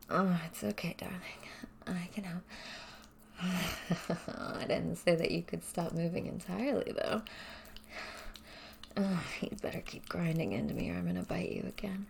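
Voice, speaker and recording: A woman speaking straight to the listener in a soft, breathy, often whispered voice, dominant and teasing in an intimate audio roleplay. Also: fairly low pitch, normal speed.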